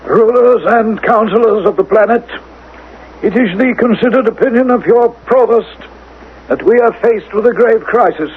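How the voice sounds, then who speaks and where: A man playing a grave, commanding ruler of a future world in radio drama, speaking formally and with measured authority. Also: high-pitched, slightly rough. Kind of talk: 1980s radio drama acting. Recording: narrow-band old radio recording, faint noise.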